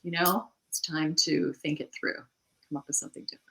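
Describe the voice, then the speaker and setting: A middle-aged woman co-hosting a casual chat about writing, animated and quick to laugh, often restarting her thoughts. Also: sits low, creaky. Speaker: podcast co-host.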